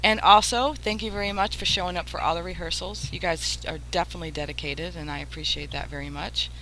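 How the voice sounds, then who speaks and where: An American woman with a bright, forward voice hosts a casual group chat. She is effusive and leans on repeated words for emphasis. The line is noisy, with a hum underneath. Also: fairly low pitch, fairly even intonation, fast talker. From Massachusetts, USA. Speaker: host of an online radio theater show. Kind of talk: casual group chat and thank-you sign-off.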